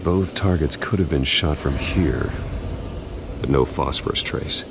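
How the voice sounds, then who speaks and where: An actor playing a grim, menacing masked vigilante detective in a video game, with a gravelly growl and terse, clipped delivery. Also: male voice, very deep voice, a little slow, background noise, heavily acted. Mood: focused, analytical.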